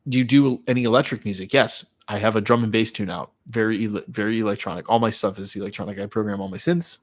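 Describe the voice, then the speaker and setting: Stuffy nasal guy, 30s, got a cold, kinda low voice, just chatting w/ his live chat. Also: steady tone, quick pace. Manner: loose and chatty, reads viewer comments aloud and answers them. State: congested from a cold. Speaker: YouTuber hosting a live watch party. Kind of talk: casual live-stream chat reading comments.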